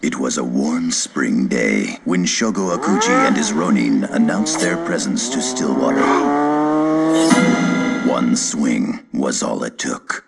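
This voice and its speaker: An actor playing an ominous, brooding news narrator telling a dramatic story in a game. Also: male voice, extremely low pitch, expressive, slow, vocal fry, over loud music, full character voice.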